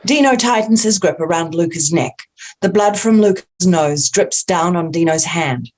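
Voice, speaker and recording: A woman reads scene descriptions from a screenplay. Her pitch is slightly on the low side. It is scripted narration for a table read. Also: expressive, moderate pace, a little mellow. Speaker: stage-direction narrator.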